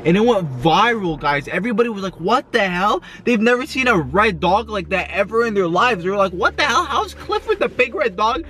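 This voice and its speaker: A man in his twenties filming an excited vlog from his parked car, talking fast and exclaiming often. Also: sits high, breathy edge. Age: 20s. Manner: hyped, exclamatory, lots of yo and oh my god. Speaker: YouTuber. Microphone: camera facing him in car.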